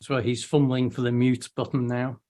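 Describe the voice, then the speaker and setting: A man's voice: medium pitch, level tone, normal speed. A man hosting a casual meetup over a video call, friendly and welcoming, often stumbling and restarting his words. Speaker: host of a weekly online meetup.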